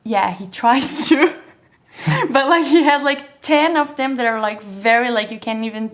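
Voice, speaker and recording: A woman's voice: on the high side, measured pace. A young Brazilian woman, a native Portuguese speaker with a Brazilian accent, chatting as an interview guest, hesitant, with many filler words and frequent laughs. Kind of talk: casual interview chat.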